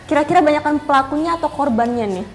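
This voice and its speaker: A young woman with a squeaky voice, chatting agreeably as a live show co-host. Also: fast talker, music in the background. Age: young adult. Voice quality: shrill, squeaky. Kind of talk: casual chat.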